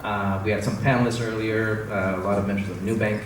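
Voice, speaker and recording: A man in his fifties, raised in Brazil, moderating a conference panel with a handheld mic and brisk questions. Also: on the low side, flat delivery, far from the mic. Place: conference stage in a large hall. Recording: echoey hall, mic heard from afar, noisy.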